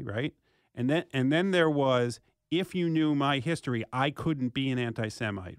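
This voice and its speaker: A man's voice: medium pitch, gravelly, no echo, clean studio sound. Emphatic, argumentative man on sports talk. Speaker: sports talk show co-host. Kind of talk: opinionated sports debate.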